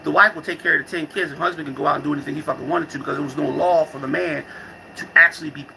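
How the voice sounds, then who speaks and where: Casting: male vlogger, very bright forceful voice with gravelly fry, loose profane rambling, slangy and irreverent. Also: a little high, fairly even intonation, very fast, slight background noise, low-quality recording. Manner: repeats and restarts phrases often. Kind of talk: rambling casual monologue with comic riffs.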